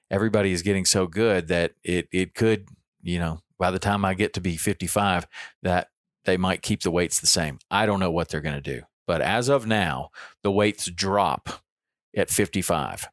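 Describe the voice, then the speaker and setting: A man's voice: low voice, normal speed, no room sound, clean studio sound. A man in his fifties hosting a podcast, talking casually on his own with frequent ums and restarts. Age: 50s. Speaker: podcast host. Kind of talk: casual solo podcast monologue.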